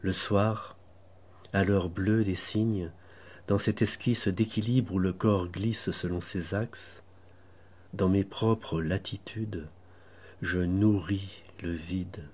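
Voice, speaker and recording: A man reciting literary poetry in a measured, contemplative manner, with deliberate pauses between short phrases and a husky voice. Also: low voice, fairly even intonation, a little mellow. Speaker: poet reading own poems.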